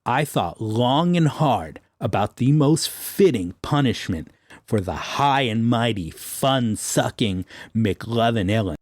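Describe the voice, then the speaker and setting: A man's voice: mid-range voice, animated, slow pace, no room sound, studio quality. Man acting a pompous, theatrical, gloating superhero. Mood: smug, taunting. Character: pompous, taunting male superhero sergeant, electric-powered, gloating. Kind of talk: comic superhero audio drama.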